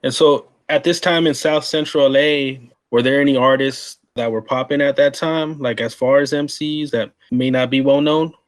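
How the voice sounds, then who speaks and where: A man's voice: mid-range voice, fairly even intonation, normal speed, few pauses. A man in his forties from Texas hosting a podcast, asking questions in an eager, slangy, friendly way. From San Antonio, Texas. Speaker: podcast host. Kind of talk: casual interview questions.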